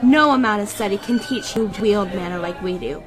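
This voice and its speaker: A woman's voice: mid-range voice, fairly quick, close to an everyday voice. A woman playing a proud, composed non-human sorceress in a fantasy audio drama, explaining things in a matter-of-fact, lofty way. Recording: music and crowd chatter behind.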